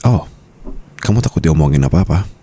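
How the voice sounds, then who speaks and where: A man playing a possessive, doting mafia boss husband in an intimate ASMR roleplay, teasing with endearments in a breathy, gravelly voice. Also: animated, dark tone, slight background noise. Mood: soothing, firm. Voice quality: very deep, gravelly. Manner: slow teasing endearments, often slipping into breathy whispers. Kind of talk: ASMR boyfriend roleplay, intimate scripted monologue.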